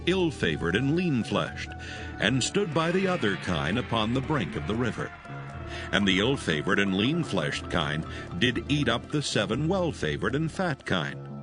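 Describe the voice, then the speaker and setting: Low-voiced, solemn male scripture narrator. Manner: solemn, formal. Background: soothing background music.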